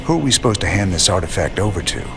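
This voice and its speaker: An actor playing a weary, determined rebel leader and rugged frontier gunslinger in a video game, with a gravelly Southern American voice. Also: male voice, low voice, animated, very fast, music in the background. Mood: gruff, businesslike. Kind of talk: video game cutscene acting.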